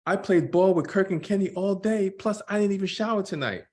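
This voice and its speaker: A man's voice: high-pitched, brisk, darkish tone, pitched above the performer's own voice, lightly acted. A man voicing an anxious, earnest thirteen-year-old schoolboy in a children's graphic novel read-aloud, with a fretful, youthful manner.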